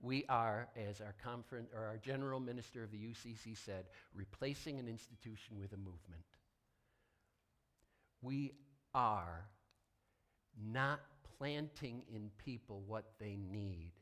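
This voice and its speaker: A man preaching a sermon as a pastor, deliberate and emphatic, with dramatic pauses and hushed drops. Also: on the low side.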